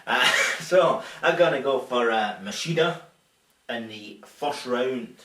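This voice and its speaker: A man's voice: medium pitch, lively intonation, takes it slowly, sharp tone, reverberant, distant mic. A Scottish man hosting a casual comic fight-sports chat show, joking and chuckling with stammering restarts. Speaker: show host.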